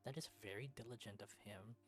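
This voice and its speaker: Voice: mid-range voice, a little fast. A let's-play host reading a visual novel aloud, moving between storybook-like narration and casual commentary.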